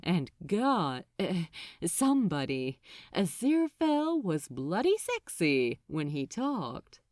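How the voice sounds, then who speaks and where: Expressive, lilting woman narrator, unhurried. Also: on the low side, no echo, studio quality. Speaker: audiobook narrator. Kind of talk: expressive scripted fiction narration.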